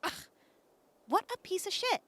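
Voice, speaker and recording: A woman's voice: moderate pace, a bit bright, close to an everyday voice. A woman playing a brash, teasing wasteland companion in an audio drama, blunt and sarcastic. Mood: scornful. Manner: blunt, sarcastic, sighs and scoffs.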